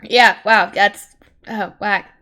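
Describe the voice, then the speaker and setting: A woman's voice: mid-range voice, fairly even intonation, takes it slowly, pushed and bright. A woman streamer reading a web comic aloud, mixing narration with casual banter.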